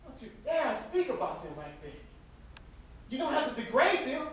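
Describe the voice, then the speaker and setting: Man acting an exasperated young brother, very high-pitched. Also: sing-song, normal speed, fairly bright tone, slight background noise, full character voice. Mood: indignant. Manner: indignant, pleading. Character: exasperated young brother defending his family. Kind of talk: amateur stage play acting. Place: indoor theatre stage. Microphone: distant camera microphone. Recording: distant, very echoey stage recording.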